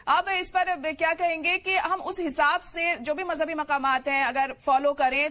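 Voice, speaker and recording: Bright, high-voiced woman moderating. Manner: attentive, frequent murmured acknowledgements. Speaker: interviewer, show host. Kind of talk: broadcast discussion moderating.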